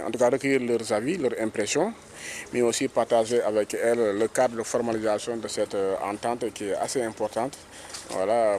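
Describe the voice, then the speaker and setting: Man, fairly low voice, Senegalese French accent, hesitant explanatory interview over faint ambient noise. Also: a little mellow. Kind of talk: interview statement to press.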